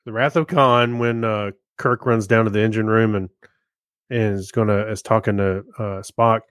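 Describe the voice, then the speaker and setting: A man's voice: lowish voice, steady tone, moderate pace, a bit bright, smooth, no room sound. Laid-out casual male podcast chat, very dry sound. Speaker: podcast co-host.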